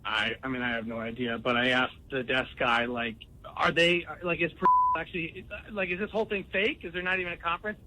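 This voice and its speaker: Bright, tense higher-voiced male radio caller. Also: little pitch movement, fairly quick, low-quality recording. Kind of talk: recounting and confronting in a phone call.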